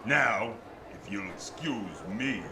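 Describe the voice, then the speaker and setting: An actor playing an irritable, tough carnival barker with gruff, slangy hardboiled talk in an old-time radio play. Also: male voice, on the low side, slow pace. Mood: irritable, bitter. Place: live theatre stage before an audience. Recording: live stage recording with clear noise.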